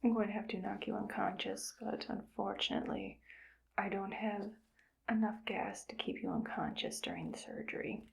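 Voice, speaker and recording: A woman voicing a cold, calm evil scientist who harvests brains, whispering softly in a clinical, matter-of-fact way for an ASMR roleplay. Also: medium pitch, moderate pace, vocal fry, exaggerated voice. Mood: coolly clinical. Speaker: ASMR creator.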